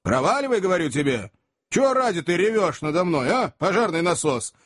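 A man voicing a rough, quick-tempered young manservant who barks threats. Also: a little high, very animated, dry recording, studio quality, pitched above the performer's own voice. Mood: fierce shouting. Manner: gruff threatening shouts.

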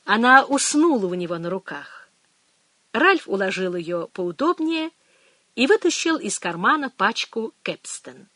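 An audiobook narrator giving a measured, expressive scripted reading into a close mic. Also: medium pitch, no room sound.

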